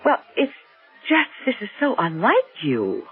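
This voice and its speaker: Nosy fond american mom, middle-aged, exclaiming, pitch all over, old radio play w music. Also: female voice, wildly swooping pitch, a little slow, breathy edge, phone-quality sound, full character voice. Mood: skeptical, amused. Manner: probing, hesitant, exclamatory. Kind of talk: 1970s radio drama acting. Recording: band-limited old radio broadcast with music.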